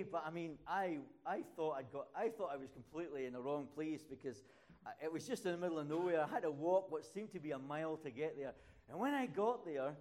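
Preacher man with rising, emphatic peaks. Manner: climbs to loud, high emphatic peaks. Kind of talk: sermon with personal stories.

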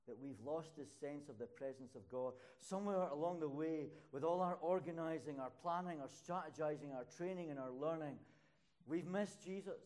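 An older man preaching in a church hall. His voice sits a little high for a man, and he tells stories from his ministry with mounting emphasis, pushing up into loud, high peaks to drive a point home. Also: moderate pace. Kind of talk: sermon with personal stories.

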